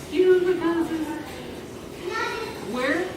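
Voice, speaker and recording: A woman's voice: very high voice, fairly even intonation, very slow, voice pushed up, full character voice. A woman voicing a chatty, eager, friendly squirrel puppet in a live children's show. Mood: excited, curious. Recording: roomy, distant mic, noisy ambience.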